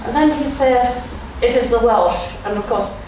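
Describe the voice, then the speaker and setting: A woman's voice: a little high, darkish tone. Older Englishwoman lecturing in an echoing church. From England. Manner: slow, thoughtful delivery with frequent ums. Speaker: guest lecturer. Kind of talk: church lunchtime lecture, reading quotations aloud. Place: large church. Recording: very echoey church, speaker heard from a distance, faint background noise.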